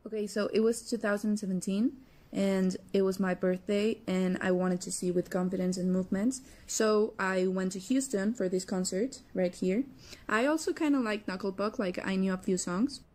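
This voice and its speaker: A woman's voice: mid-range voice, moderate pace, darkish tone. A woman from Mexico casually telling an anecdote in English to camera.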